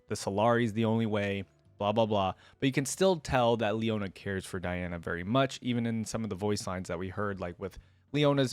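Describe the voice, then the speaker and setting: A man's voice: mid-range voice, dry recording. A man giving casual, slangy fan commentary as the host of a reaction video. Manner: informal, slangy fan talk.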